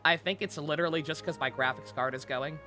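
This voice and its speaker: Woman game streamer, low relaxed chat, rambling, joking, repeats phrases, game music behind. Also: fast talker. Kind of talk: casual livestream chat.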